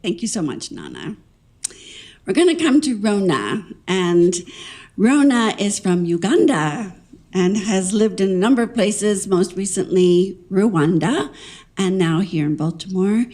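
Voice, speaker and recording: Mellow-voiced woman moderator. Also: medium pitch, lively intonation, unhurried. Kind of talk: introducing panelists. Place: conference hall.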